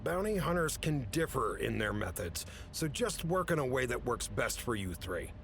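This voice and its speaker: Guy acting as gruff boss chief in an audio drama, measured and matter of fact, bit of rumble behind. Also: sing-song, fairly bright tone. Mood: firm. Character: gruff, businesslike male chief briefing agents on a mission. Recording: rumbly background noise.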